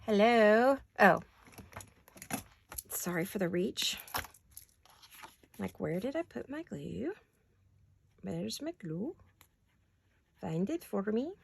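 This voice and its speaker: A woman's voice: medium pitch, lively intonation, takes it slowly, gravelly, pauses often, slight background noise. A middle-aged woman rambling chattily while she works on paper crafts in her home studio, joking at her own expense. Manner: rambling, self-deprecating, talks while working. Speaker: craft vlogger.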